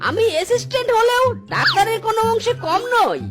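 A woman's voice: extremely high pitch, lively intonation, fast talker. A woman voicing a cocky, bungling clinic assistant in a cartoon, boastful and cheerfully careless. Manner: boastful, exaggerated. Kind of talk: cartoon comedy dubbing. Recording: loud music over the voice.

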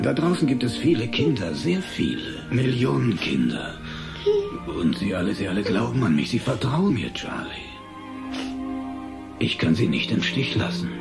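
A loving father turned Santa speaks earnestly in a family audio drama. His voice sits low with a gravelly edge and moves freely in pitch. Music plays underneath him. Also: exaggerated voice. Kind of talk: film dub acting in an audio play.

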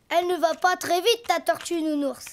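A performer voicing a lively, imaginative little boy donkey in a children's cartoon, childlike and playful. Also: extremely high pitch, background noise. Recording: loud music behind.

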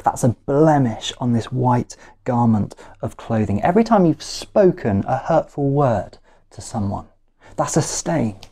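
Slow, mellow man preaching. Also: mid-range voice. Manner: deliberate, emphatic repetition with measured pauses. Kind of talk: sermon. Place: small living room at home, indoors.

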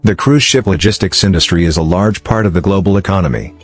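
A man reading a scripted explainer narration in a measured, formal way. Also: deep voice. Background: background music.

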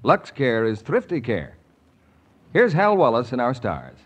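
A man's voice: medium pitch, huge pitch swings, unhurried. A man announcing and reading advertising copy in a 1940s radio style.

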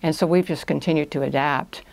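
A woman's voice: sits low, fairly even intonation, fairly quick, creaky, a little mellow. An older woman giving conversational, explanatory answers as a guest in a studio interview. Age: older, about 60s or 70s. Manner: explanatory, step-by-step. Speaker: guest, language institute owner. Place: small indoor podcast studio.

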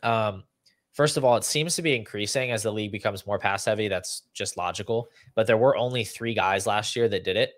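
A young man with a lowish voice talks through sports analysis like a podcast host. He explains numbers and lists conversationally, recorded dry and close in a room at home. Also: a little fast, no room sound. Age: young adult. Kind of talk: fantasy football analysis, conversational. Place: small room at home, indoors. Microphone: video call setup.

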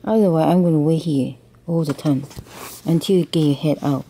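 A woman's voice: sits low, a little fast, dark tone, slight background noise, low-quality recording. A woman reads a picture book aloud to a child, explaining bilingually and checking understanding with questions. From Taiwan.

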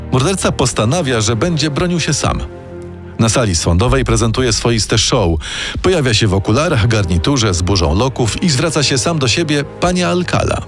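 A male narrator reading a scripted true-crime story. His pitch is medium but swings in a lively way, and music plays clearly behind him.

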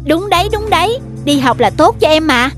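Woman as a high-voiced, persuasive big-brother schoolboy. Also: expressive, normal speed, fairly bright tone. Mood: persuading. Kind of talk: cartoon dubbing. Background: prominent music.

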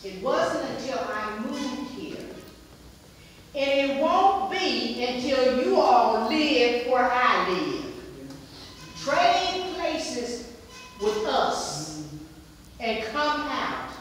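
A woman's voice: sits high, sing-song, extremely slow, a little hiss or hum. A middle-aged woman with a West Virginia accent, standing to speak at a public hearing, emphatic and repetitive. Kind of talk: impassioned public comment. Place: community center meeting room. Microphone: far camera across the room. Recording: echoey room from far off.

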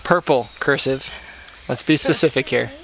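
A man's voice: mid-range voice, moderate pace, a crowd in the background. A man in his thirties chatting casually on his rural homestead, easygoing and joking. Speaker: homesteading vlogger. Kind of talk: casual outdoor chat. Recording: outdoor camera audio with clear background noise.

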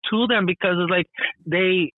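A man co-hosting a podcast, chatting in a relaxed way with many fillers. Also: high-pitched, normal speed, slightly rough, dry recording, phone-quality sound. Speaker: podcast co-host. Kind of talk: casual podcast chat.

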